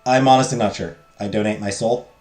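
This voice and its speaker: A man streaming a visual novel, loudly reading the game text aloud and chatting with his viewers. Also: fairly low pitch, steady tone, a little fast. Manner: loud, talks loudly. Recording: roomy echo, faint game music.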